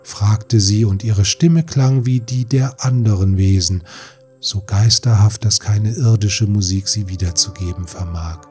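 Measured male fairy tale narrator. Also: fairly low pitch. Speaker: audiobook narrator. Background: soft music.

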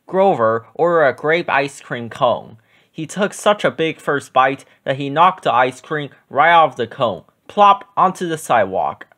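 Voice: medium pitch, fairly bright tone. A storyteller reading a children's picture book aloud as scripted narration.

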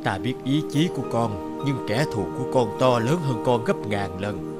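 A man voicing a solemn old male village elder in a cartoon, speaking ceremonially over loud music. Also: medium pitch, animated, moderate pace, close to an everyday voice. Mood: grave. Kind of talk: cartoon voice acting.

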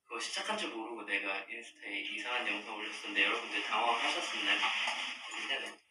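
A young man in his twenties chatting casually to fans on a livestream, soft-spoken and drifting into murmurs and whispers. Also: fairly low pitch, fast talker, far from the mic, over loud music. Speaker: livestream host. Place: room with dark wall at a long table, indoors. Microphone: device camera across the table. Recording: roomy, from slightly off-mic.

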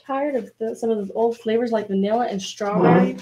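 A woman's voice: fairly high pitch, brisk, very noisy, lightly acted. A woman playing a perky advertiser in a parody old-time radio commercial. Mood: perky pitching.

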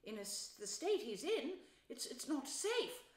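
A woman's voice: extremely high pitch, big pitch swings, fast talker, mellow, echoey room, voice pushed up. A woman voicing an anxious, kindly male mole in a storybook, hesitant and stammering with worry.

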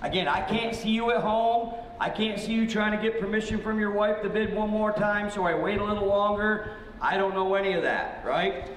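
A man's voice: high voice, fairly even intonation, normal speed. A man working as a live auctioneer, folksy and blunt, talking straight to the bidders. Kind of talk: live auction sales patter. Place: large indoor auction room. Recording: very echoey hall, some background noise.

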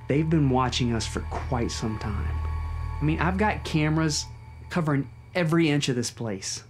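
A man in his forties playing an earnest, halting husband of a kidnapped wife in a found-footage horror film. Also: a little high, faint music behind, close to an everyday voice. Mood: shaken, worried. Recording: noisy audio with insect ambience.

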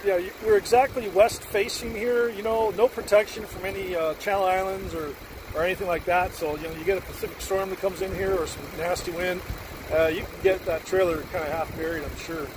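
A man in his forties narrating a casual travel vlog from inside a pickup truck, relaxed and rambling with lots of filler words. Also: high voice, fairly quick, noisy background. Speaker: RV travel vlogger. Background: background music.

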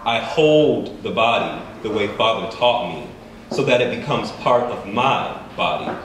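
A man reading his own fiction aloud at an open mic in a bookstore, measured and deliberate. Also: fairly low pitch, a bit bright. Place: bookstore event space, indoors. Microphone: stand mic, recorded by a far camera. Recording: echoey room from far off, faint noise.

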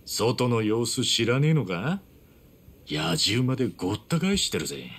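An actor playing a loyal, earnest street-tough man in a game cutscene, speaking roughly and from the heart. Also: mid-range voice, big pitch swings, measured pace, full character voice. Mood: wry, casual. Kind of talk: dramatic game cutscene acting.

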